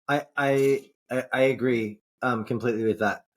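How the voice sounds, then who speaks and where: A man's voice: medium pitch, steady tone. A man co-hosting a casual podcast, thinking aloud with many fillers and restarts and casual swearing. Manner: slow, searching, with frequent restarts and fillers. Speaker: podcast co-host.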